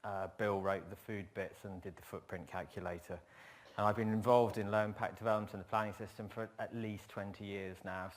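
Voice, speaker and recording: A man who works as a planner giving a conference talk, wry and emphatic, repeating his points. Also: lowish voice.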